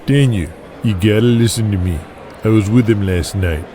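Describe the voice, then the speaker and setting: A man's voice: on the low side, moderate pace, warm tone, slight background noise, lightly acted. A man voicing a rattled but laid-back pro wrestler in an audio drama, speaking with slangy emphasis. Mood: alarmed.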